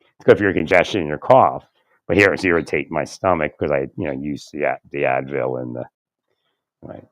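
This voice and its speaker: A man with a slightly hoarse, raspy voice talking in a rambling, halting way, repeating words, chuckling often and asking "right?" as he speaks into his phone outdoors. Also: very deep voice, fairly bright tone, no room sound. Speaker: vlogger. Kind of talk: rambling casual monologue. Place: outdoors in winter.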